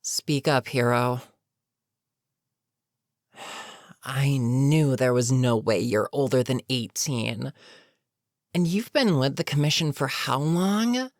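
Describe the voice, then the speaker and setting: A woman playing an older, weary supervillain in an audio roleplay, menacing yet protectively concerned, with a measured, sardonic, sighing delivery. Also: very deep voice, expressive, no room sound, studio quality, full character voice. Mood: stern, probing. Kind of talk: dramatic audio roleplay monologue.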